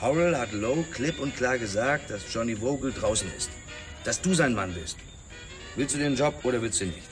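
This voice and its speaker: An actor playing a blunt, cocky, streetwise ex-boxer cop in a radio drama. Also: male voice, medium pitch, lively intonation, moderate pace, close to an everyday voice. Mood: blunt, pushy. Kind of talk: radio drama dialogue acting. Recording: slightly distant, music behind. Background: music.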